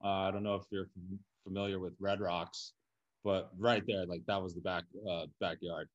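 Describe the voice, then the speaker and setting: A man's voice: deep voice, level tone, normal speed, dull audio. A man in his thirties from Colorado, talking casually as a podcast guest, his voice a little scratchy. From Colorado, USA. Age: 30s. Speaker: guest, business co-owner. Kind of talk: casual chat.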